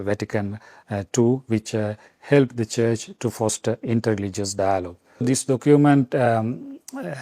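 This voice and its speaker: A middle-aged man from Sri Lanka, a Vatican official answering interview questions in a halting way with many fillers and restarts. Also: lowish voice, warm tone, no echo.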